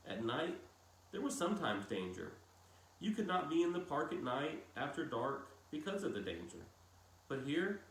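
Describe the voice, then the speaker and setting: A man reading a novel aloud as a narrator, with measured phrasing and dramatic pauses and emphasis. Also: a little high. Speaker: audiobook-style reader. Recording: roomy echo with clear background noise.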